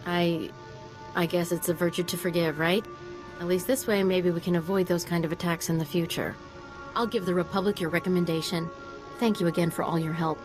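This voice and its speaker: Woman playing a low-pitched, guarded scientist. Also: steady tone, fairly quick, over music, natural voice. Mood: guarded, earnest.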